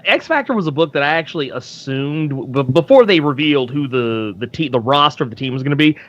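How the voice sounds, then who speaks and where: A man's voice: mid-range voice, expressive, a little fast. Hesitant man on a slightly dull call. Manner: hesitant, thinking aloud with frequent restarts. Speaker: podcast guest. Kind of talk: casual live comic book discussion.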